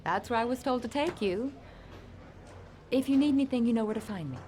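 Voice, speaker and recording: Brisk high police lady in a game, matter of fact, guy doing the voice. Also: animated, a little mellow, slight background noise. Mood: curt.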